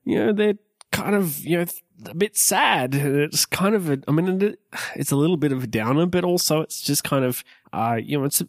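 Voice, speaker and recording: A man's voice: fairly high pitch, animated, normal speed, no echo, studio quality. A man chatting casually as a podcast co-host, rambling with lots of fillers and restarts. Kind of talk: casual chat.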